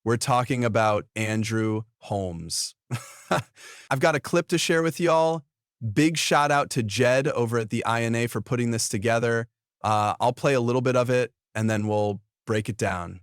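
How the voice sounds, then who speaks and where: A man's voice: medium pitch, moderate pace, smooth, dry recording, studio quality. A man hosting online gossip commentary in a blunt, mocking, taunting way.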